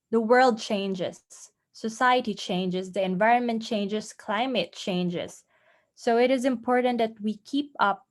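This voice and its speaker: A woman encouraging an online audience at the close of her talk, repeating key words for emphasis. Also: on the high side. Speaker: webinar speaker. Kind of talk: closing advice in a talk.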